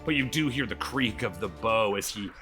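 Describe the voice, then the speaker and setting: A man's voice: lowish voice, moderate pace, over music. A man improvising narration as the game master of a live tabletop game, casual, slangy and jokey.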